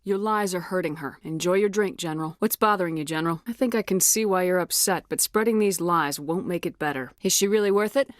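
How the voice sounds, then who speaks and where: A woman's voice: on the low side, a little fast, hardly any pauses, no echo, clean studio sound, close to an everyday voice. An actress playing a tough, commanding female military officer in a science fiction video game, speaking in a firm, clipped, no-nonsense way. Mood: stern. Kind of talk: video game dialogue lines.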